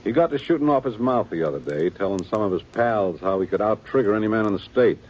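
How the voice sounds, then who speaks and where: An actor playing a weary, dutiful aging small-town sheriff with a plain folksy drawl in a 1950s radio drama. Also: male voice, lowish voice, lively intonation, fast talker, highs cut off, lightly acted. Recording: old radio broadcast with faint hiss.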